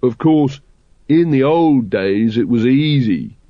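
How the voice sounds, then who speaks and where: A man's voice: medium pitch, a little slow, no echo, lightly acted. A man voicing a petty, grumbling male cult member, in a dry, dull recording.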